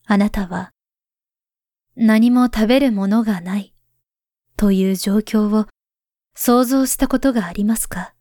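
A woman voicing a grown woman who writes to her past self, speaking softly and gravely, often near a whisper. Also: mid-range voice, unhurried, pauses often, no room sound, clean studio sound, close to an everyday voice. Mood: earnest. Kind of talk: voice drama letter monologue.

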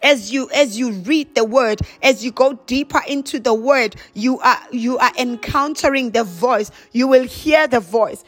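A woman's voice: a little high, measured pace, few pauses. A woman preacher delivering a charismatic prayer sermon, exhorting with repeated emphatic phrases and bursts of chanted glossolalia.

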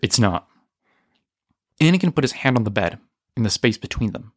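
A man narrates a piece of scripted audio fiction. His pitch sits in the middle of the male range. The sound is dry, with hardly any room around the voice. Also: expressive, quick pace, no echo.

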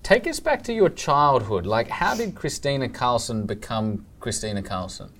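A woman's voice: very deep voice, sing-song, moderate pace. A woman hosting a podcast interview, speaking in an effusive, admiring way. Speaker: podcast host. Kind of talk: interview host.

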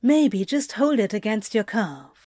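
A woman plays a relaxed, playful male yoga teacher in an audio story. The tone is soft and mellow, close and dry, with gentle encouragement and teasing reassurance. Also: mid-range voice, unhurried, no echo. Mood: patient, instructing. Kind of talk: audio fiction character acting.

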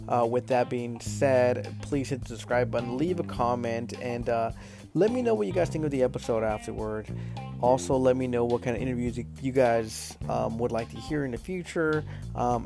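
Gravelly-voiced upbeat man hosting a podcast. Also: medium pitch. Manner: upbeat, hyped greeting with many fillers and restarts. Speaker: podcast host. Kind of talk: casual podcast interviewing.

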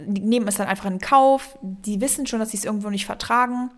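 A young woman talking casually on a podcast at a middle pitch. She rambles, stops to search for words and leans on fillers, and she drops English words into her sentences. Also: quick pace. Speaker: podcast co-host.

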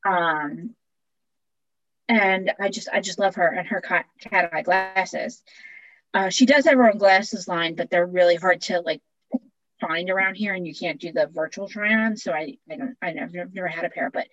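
A woman's voice: on the low side, moderate pace, a bit bright, dry recording, lo-fi sound. A woman in her forties with a Long Island accent, co-hosting a podcast in casual chat, laughing often and restarting her sentences. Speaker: podcast co-host.

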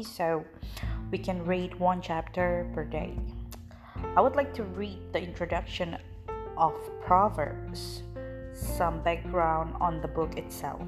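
Woman, native Indonesian speaker, informal Bible reader, low-ish voice, faint background music. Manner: unhurried, with frequent fillers and restarts. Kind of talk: informal Bible reading and introduction.

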